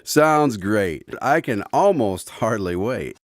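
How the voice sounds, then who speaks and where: An actor playing a mild, good-natured guest and announcer in a scripted radio drama. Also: male voice, fairly low pitch, expressive, slow. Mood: mild, wry. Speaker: narrator and credits announcer. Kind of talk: scripted radio drama acting and closing credits.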